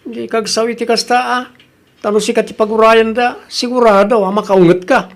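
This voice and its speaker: A man's voice: high-pitched, fairly even intonation, fairly quick. A man acting in an Ilocano comedy radio drama as a nagging, reproachful relative who lectures on punctuality, with an Ilocano accent.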